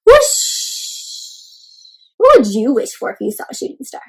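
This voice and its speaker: A woman doing an interactive children's read-aloud, expressive and full of exclamations, often putting questions to young listeners. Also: high voice, a little slow, faint music behind, low-quality recording. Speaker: host reading a picture book aloud to children.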